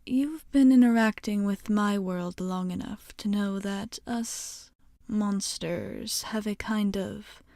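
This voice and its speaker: A woman playing a courteous, coy vampire bartender in a soft-spoken ASMR roleplay, with measured formal phrasing and breathy, whispery endings. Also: medium pitch, no room sound, clean studio sound. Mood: patient, coy. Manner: formal, measured, hesitant pauses, teasing politeness.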